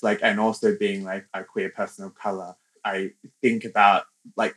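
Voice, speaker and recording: A young podcast guest from Australia speaks in a low, level voice. He talks thoughtfully and casually, pausing often and filling gaps with "like" and "I guess". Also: low-quality recording. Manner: reflective, soft-spoken, many fillers. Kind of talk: casual interview talk.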